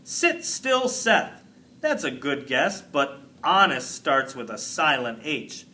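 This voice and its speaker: A storybook woman schoolteacher, voiced by a man, patient but firm with the class. The delivery is bright and lively in pitch, with clear instructions given unhurriedly. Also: slow, a little hiss or hum, exaggerated voice. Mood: brisk, instructing.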